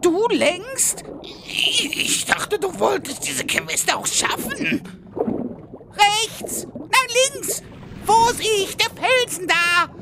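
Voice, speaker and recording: Very high, whiny gamer voice. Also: female voice, very slow, gravelly, noisy background, full character voice. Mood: panicked bossy. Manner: complaining, excitable. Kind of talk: comedic audio drama acting.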